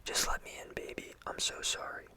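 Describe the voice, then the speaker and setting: A storyteller whispering the lines of a creature that imitates a pleading, tearful mother, coaxing and soft. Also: a little fast.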